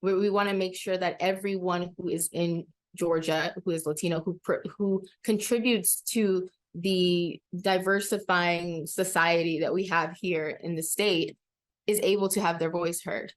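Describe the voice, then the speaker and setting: A woman's voice: lowish voice, steady tone, unhurried, dry recording. A woman advocate for a nonprofit in a news interview, speaking earnestly and casually with fillers like "like".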